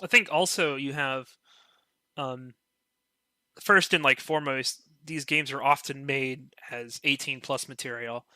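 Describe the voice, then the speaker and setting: A man's voice: medium pitch, measured pace, pushed and bright, no echo. A man in a casual podcast chat, rambling with lots of "you know" and "like".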